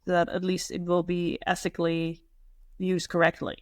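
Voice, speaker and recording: Young speaker from the Netherlands, native Dutch, casual chat, thoughtful and questioning, mid pitch, dry recording. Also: monotone, moderate pace, no room sound. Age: young adult. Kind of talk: casual conversational discussion.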